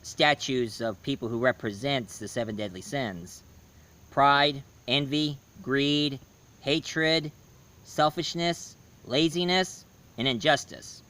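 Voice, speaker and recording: A man giving a casual spoken review, hoarse, rambling and correcting himself mid-sentence. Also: medium pitch, slow pace, pushed and bright. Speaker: movie and comics reviewer.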